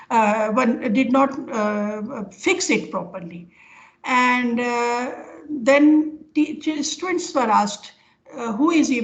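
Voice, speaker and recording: An older woman with an Indian accent giving an informal academic lecture over a video call, speaking haltingly as she explains with examples. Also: a little high. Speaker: professor giving an online lecture. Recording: dull, lo-fi online call audio.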